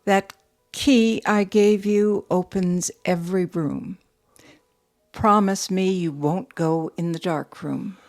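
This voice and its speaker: An actress playing a composed, guarded young widow who runs a steel mill, speaking in a measured, matter-of-fact way in an audio drama. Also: female voice, fairly low pitch, darkish tone. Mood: firm, serious.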